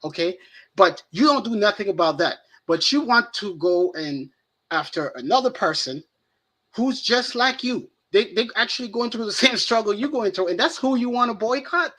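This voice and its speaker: A man's voice: high-pitched, lively intonation, normal speed, bright, forceful tone. A man with a French accent, co-hosting a casual discussion and talking in an easygoing way, often asking "right?". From France. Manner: conversational, frequent "right?" and "you know" tags. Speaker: podcast co-host.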